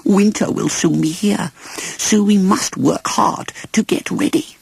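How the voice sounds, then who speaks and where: A man's voice: sits high, a little slow, vocal fry, slightly rough, no echo, pitched above the performer's own voice, exaggerated voice. A man voicing hard-working, dutiful storybook ants in an earnest, insistent manner. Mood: earnest, busy.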